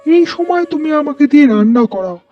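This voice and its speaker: A woman playing a weary, gentle elderly mother-in-law in a cartoon family drama, plaintive and placating. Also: high voice, sing-song, fast talker. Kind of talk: animated family melodrama acting. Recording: dull lo-fi sound with music.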